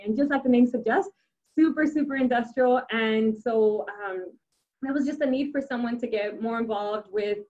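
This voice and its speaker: Young adult woman, native Spanish speaker, upbeat engineer guest; slightly high voice, dull lo-fi sound. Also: darkish tone. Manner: many restarts and fillers, upbeat. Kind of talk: casual interview answers.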